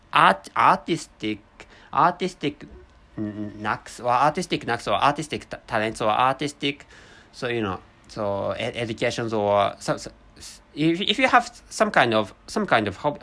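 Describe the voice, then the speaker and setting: A man with a Japanese accent, a native Japanese speaker, talking unscripted in a second language, halting and searching for words as he corrects himself. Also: mid-range voice, fairly bright tone. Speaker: vlogger.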